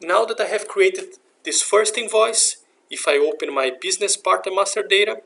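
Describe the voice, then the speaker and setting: A man narrating a software tutorial as an instructor, explaining methodically step by step. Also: high voice, normal speed, creaky. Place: voice-over for a screen recording.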